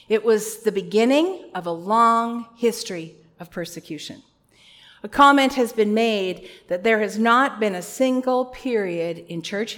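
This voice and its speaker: A woman with a loud voice preaching an earnest, conversational sermon with a few personal asides. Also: mid-range voice.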